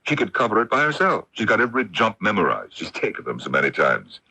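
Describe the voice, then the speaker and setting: A hearty, domineering country gentleman, very deep and gravelly. He is brisk and confident, his pitch swooping widely as he persuades. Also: huge pitch swings, heavily acted, rough recording. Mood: earnest, fond. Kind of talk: radio drama acting.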